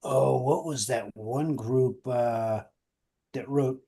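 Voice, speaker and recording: A man with a soft-edged, mellow voice at a middle pitch, co-hosting a casual show. He speaks in a folksy, earnest manner, addressing listeners in plain colloquial language. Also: slow pace, husky. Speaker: podcast co-host. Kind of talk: casual radio show talk with listener appeals.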